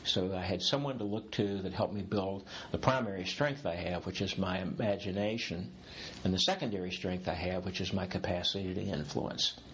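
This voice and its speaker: Man in his sixties, low voice, reflective interview guest, restarts often, faint room ambience. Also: steady tone, moderate pace, hardly any pauses, fairly bright tone, slight background noise, rough recording. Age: 60s. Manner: reflective, rambling with restarts, occasional laughs. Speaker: executive coach, guest. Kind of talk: interview conversation.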